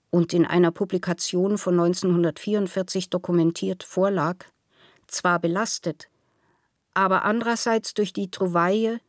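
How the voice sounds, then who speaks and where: A woman's voice: on the low side, no room sound. Woman narrator, dry studio sound. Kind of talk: scripted literary narration.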